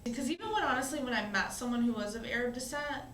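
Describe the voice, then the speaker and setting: A woman in her twenties, a college student, telling her own story in a relaxed, reflective interview, often pausing with "um" and "you know". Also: fairly high pitch, a little hiss or hum. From born in Syria, raised in the US. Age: 20s. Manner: conversational, reflective, frequent "um" and "you know" fillers. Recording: roomy echo.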